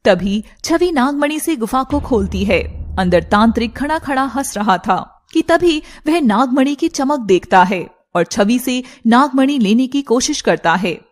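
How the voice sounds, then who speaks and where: A woman's voice: fairly high pitch, animated, unhurried, hardly any pauses. A woman storyteller delivering scripted, dramatic narration for a cartoon tale.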